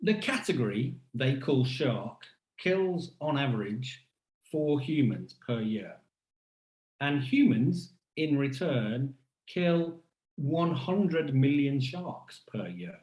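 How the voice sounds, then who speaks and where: A man gives a scripted keynote in the first person, with a roomy echo around his voice. His delivery is deliberate and rhetorical, leaning on emphatic pauses. A wry edge runs through the narration. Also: mid-range voice, very animated, a little mellow. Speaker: academic keynote speaker.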